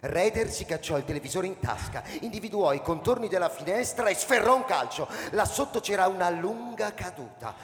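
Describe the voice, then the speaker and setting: A man's voice: sits high, expressive, fairly quick, hardly any pauses, soft background music. A man narrating a scripted story in a theatrical, intense, dramatic manner. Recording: echoey hall with long reverb.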